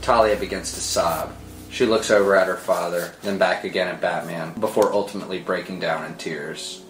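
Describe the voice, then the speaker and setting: Deep-voiced male screenplay narrator. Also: fairly even intonation, moderate pace, fairly bright tone. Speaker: narrator reading stage directions. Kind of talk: dramatic scripted narration. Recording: roomy sound with music underneath.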